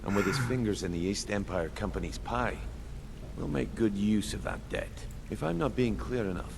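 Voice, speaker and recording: An actor playing a shrewd, scornful thieves' guild boss in a video game, with a wry, commanding delivery. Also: male voice, fairly low pitch, normal speed. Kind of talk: scripted game dialogue. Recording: clearly noisy background under game dialogue.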